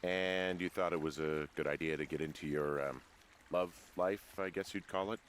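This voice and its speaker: A lowish-voiced, laconic man working as a fire lookout, acted by a man for a video game. He is guarded and understated, with dry humor and natural delivery. Outdoor noise is clearly audible behind him. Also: steady tone, normal speed, natural voice. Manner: dry, low-key, understated. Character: laconic, guarded, dryly joking adult male fire lookout.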